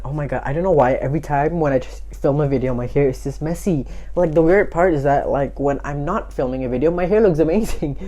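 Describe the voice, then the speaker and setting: A young woman from Indonesia talks in a low voice, her English American-sounding. She is candid and expressive and swears casually as she gives tips. Faint music plays underneath. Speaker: vlogger. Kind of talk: casual talk to camera, giving tips.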